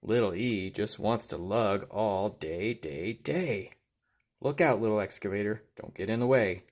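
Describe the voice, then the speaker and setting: A man reading a children's picture book aloud, with rhythmic delivery and deliberate emphasis on the sound words. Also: on the low side, a little mellow. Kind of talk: read-aloud children's storytelling.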